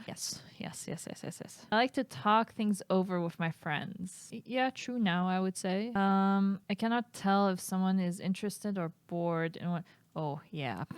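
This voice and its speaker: A woman's voice: on the low side, clear, resonant tone, no echo. A young woman streaming, reading test questions aloud and answering them in a casual, hesitant way, often laughing. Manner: reads items aloud then answers with hesitant, giggly asides; self-describes as flat and monotone.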